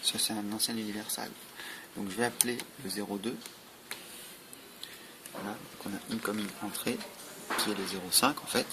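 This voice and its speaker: A man's voice: lowish voice, fairly even intonation, normal speed, long pauses. A man giving an informal, matter-of-fact, step-by-step product demonstration. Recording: noisy amateur recording with clicks.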